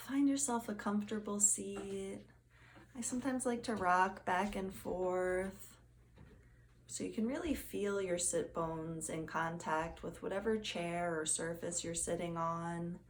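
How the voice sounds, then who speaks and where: Slow-paced woman leading guided meditation, slightly creaky. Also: fairly low pitch. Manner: gentle, soothing, unhurried. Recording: faint background noise.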